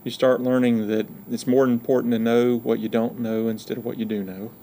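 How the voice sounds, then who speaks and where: Middle-aged man with a Georgia drawl, gravelly, podcast guest, folksy, joking delivery. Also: mid-range voice, level tone, a little fast, mellow, slight background noise. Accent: Georgia Southern US. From Georgia, USA. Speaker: university provost, podcast guest. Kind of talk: casual interview chat.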